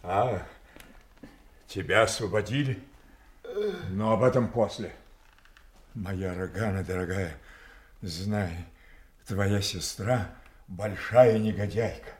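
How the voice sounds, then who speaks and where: A proud old king in an audio tragedy, wounded and quick to wrath. He declaims slowly and theatrically, with a volatile temper. The sound is roomy and echoing. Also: low voice. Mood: bitterly aggrieved. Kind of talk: classical stage tragedy, audio play acting.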